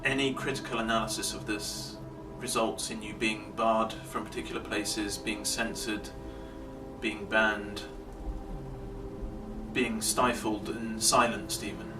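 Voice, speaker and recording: A man delivering a deliberate, emphatic monologue of social commentary, pausing between phrases. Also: lowish voice, fairly even intonation, over music. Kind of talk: slow rhetorical monologue. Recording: distant mic, clearly noisy background.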